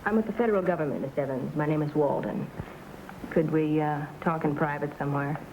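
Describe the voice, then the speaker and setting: A woman's voice: sits low, fairly quick. A woman acting a cool, businesslike female agent with a formal manner in an old-time western drama. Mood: composed, polite. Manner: polite, composed, formal. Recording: noisy old western soundtrack.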